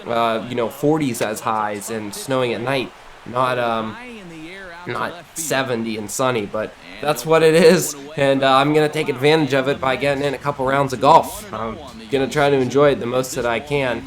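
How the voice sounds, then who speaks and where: A man's voice: medium pitch, fairly even intonation, normal speed. Sniffly American man doing casual game commentary. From Ohio, United States. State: sniffly, getting over the flu. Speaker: gaming YouTuber, series host.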